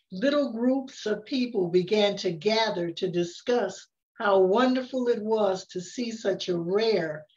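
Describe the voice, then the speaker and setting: An older woman reading a picture book aloud in an expressive storytelling manner, with dramatic emphasis. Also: mid-range voice, dull audio. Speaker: story reader. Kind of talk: picture-book read-aloud with casual asides.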